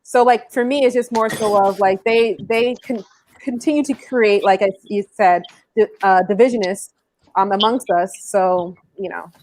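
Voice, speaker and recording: A woman's voice: medium pitch, moderate pace, darkish tone, poor audio. A woman giving emphatic opinions as a panelist in a casual live discussion. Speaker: panelist on a live talk show.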